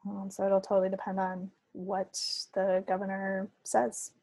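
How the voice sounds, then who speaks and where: A woman's voice: mid-range voice, monotone, a little slow, mellow, no echo, low-quality recording. An American woman chatting casually as a podcast co-host. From United States. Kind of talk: casual chat.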